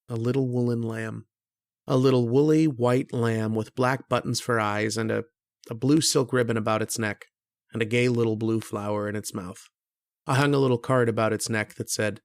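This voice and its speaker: An actor playing an earnest, kindly struggling writer who narrates an old-time radio horror drama in a reflective, hesitant way. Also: male voice, medium pitch, creaky, dry recording, studio quality, close to an everyday voice.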